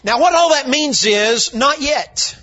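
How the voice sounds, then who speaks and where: A man, a visiting preacher, slightly hoarse, telling folksy stories and building to shouted emphasis in a sermon. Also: very high-pitched, expressive, slow pace. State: slightly hoarse from lack of sleep. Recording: dull lo-fi church recording.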